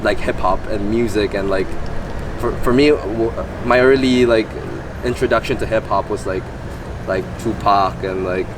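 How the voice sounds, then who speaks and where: A man's voice: fairly low pitch, normal speed. A young man from Malaysia answering interview questions in loose run-on sentences full of "like". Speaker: beatboxer guest. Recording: distant mic, street noise.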